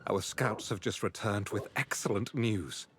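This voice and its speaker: A man playing a sober military leader in a fantasy video game, with formal, measured wording. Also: lowish voice, lightly acted. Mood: grave, pleased. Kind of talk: scripted video game dialogue.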